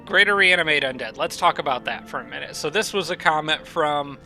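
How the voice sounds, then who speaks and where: Man, stuffy nose, gameplay commentary, bright sharp tone, thinking aloud with lots of ums, faint music underneath. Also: on the high side, fairly quick, gravelly. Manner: thinking aloud, frequent fillers and restarts. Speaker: strategy game commentator.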